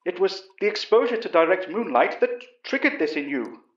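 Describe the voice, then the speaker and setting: Man as an elderly English professor, earnest storyteller, higher-pitched voice, horror audio drama, roomy recording. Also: very animated, moderate pace. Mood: reassuring. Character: elderly English professor recounting monster encounters, earnest and calm. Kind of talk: first-person horror audio drama narration. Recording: roomy, slightly dull sound.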